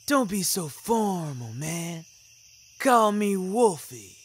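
A man's voice: high-pitched, big pitch swings, takes it slowly, slightly rough, heavily acted. A man voicing a jovial, worldly old wolf in an audio story, theatrical and genial with a sly edge. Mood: jovial, welcoming. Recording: music bed under the voice.

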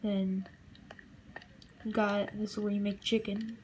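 A young woman casually narrating a walkthrough of a game build she made, halting and relaxed, with fillers. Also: medium pitch, a little hiss or hum. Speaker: channel host.

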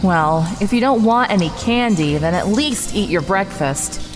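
A woman's voice: mid-range voice, animated, unhurried, close to an everyday voice. A woman playing a lonely, childlike yet menacing snake woman with a naive, probing manner in a comic audio drama. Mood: scolding, menacing. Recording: reverberant hall, music behind.

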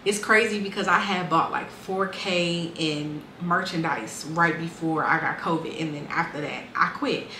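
A woman's voice: fairly low pitch, normal speed, few pauses, sharp tone, roomy sound, a little hiss or hum. A woman in her twenties casually and candidly telling her life story, with lots of "um" and "like". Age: 20s. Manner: candid, conversational, frequent fillers and restarts.

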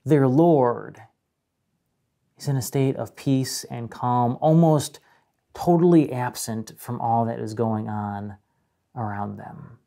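The voice of a man at the front of a small church, a mellow, measured pastor preaching with weighted pauses. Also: mid-range voice, fairly even intonation, creaky. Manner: measured, dramatic pauses and emphasis. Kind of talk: sermon. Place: indoor room with a painted mural, speaking to camera.

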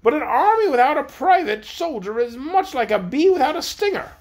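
A man voicing a proud, dramatic young queen in a storybook reading, with a high, theatrical delivery. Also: sing-song, a little fast. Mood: lamenting.

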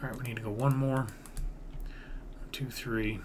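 A man with an average-pitched voice narrates his own game build in a casual, rambling way, thinking aloud and half-muttering as he goes. He is recorded indoors at a computer, with clear background noise and typing. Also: steady tone, normal speed. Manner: rambling, half-muttering counts, mild swearing. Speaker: gaming video creator narrating his own build series.

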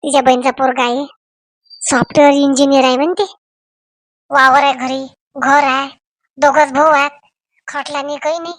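A woman's voice: high voice, level tone, normal speed, long pauses, a bit bright, no echo, rough recording. A woman voicing a nagging, insistent village mother in a family comedy cartoon. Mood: insistent, persuading. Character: bossy, nagging village mother arranging her daughter's wedding.

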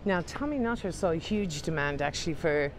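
A woman's voice: low-pitched, animated, a little fast, noisy background. Upbeat woman guiding a walk. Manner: chatty and upbeat, addresses viewers as ladies and gents. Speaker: walking tour guide. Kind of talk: walking tour narration to camera. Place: outdoors on city streets.

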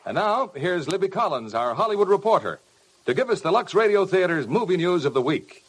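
A man's voice: high voice, very animated, fairly quick, breathy edge, rough recording. An American man announcing on 1950 radio, reading scripted lines in a polished, promotional way.

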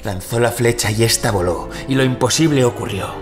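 An actor playing a bold young medieval outlaw telling a legend in an audio drama, theatrical and persuasive. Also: male voice, medium pitch, brisk, lightly acted. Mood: suspenseful. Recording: music behind the voice.

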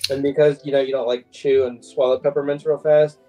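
A man's voice: medium pitch, flat delivery, brisk, warm tone, soft background music. A man chatting casually as a guest over a video call from a room at home. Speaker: guest co-host.

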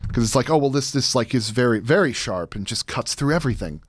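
A man in his thirties chatting casually as an interview guest, with halting, rambling explanations, close on a studio microphone. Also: medium pitch, animated, no room sound. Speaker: guest, editor and sound designer. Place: indoor podcast studio. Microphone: close studio microphone on a boom.

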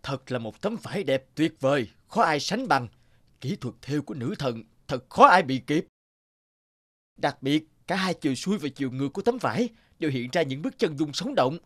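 Cartoon casting: reverent village man, husky, fairly high voice, expressive delivery. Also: moderate pace, dry recording, clean studio sound. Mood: admiring. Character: earnest, awed village man watching contest. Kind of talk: animated fairy tale dubbing.

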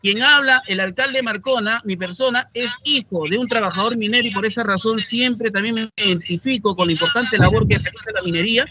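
A man's voice: high voice, brisk, hardly any pauses, pushed and bright, a little hiss or hum. A middle-aged man, a mayor, addressing a committee over a video call from an indoor office in an emphatic, indignant tone, repeating words for stress.

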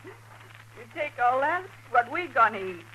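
An actress playing a wary, weary, poor homesteader's wife with a rural Western American accent, guarded and plain, in a 1950s radio western. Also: female voice, high voice, big pitch swings, slow, pushed and bright, full character voice. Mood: anxious, accusing. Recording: hissy, thin vintage 1950s radio recording.

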